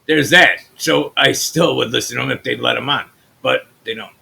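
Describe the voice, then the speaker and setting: A man from the US Midwest with a naturally deep voice, hosting a channel and talking loosely through his screen, trailing off into mutters. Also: normal speed, vocal fry, bright, forceful tone, slightly rough. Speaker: channel host. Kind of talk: rambling screen-share commentary.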